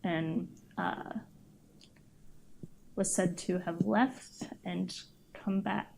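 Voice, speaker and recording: A woman's voice: fairly low pitch, fairly even intonation, drawn-out words, vocal fry, darkish tone, slight background noise, poor audio. A woman co-hosting a podcast, telling stories in casual, informal chat and joking along.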